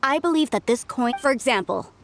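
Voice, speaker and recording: High-voiced, studious researcher. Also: female voice, animated, brisk, slight background noise. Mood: earnest. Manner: polite, tentative, earnest. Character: polite, studious woman researcher, slightly hesitant. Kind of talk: video game voice acting.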